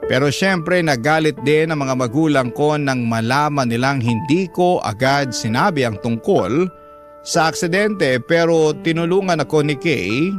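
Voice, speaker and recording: A man's voice: on the high side, measured pace. A man with a Filipino accent giving a dramatic first-person narration of a radio story. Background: background music.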